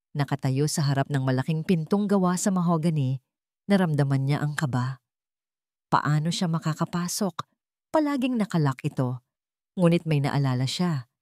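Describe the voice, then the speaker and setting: A woman storyteller reading scripted dramatic narration in a deliberate, suspenseful manner. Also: low voice, darkish tone, dry recording, clean studio sound.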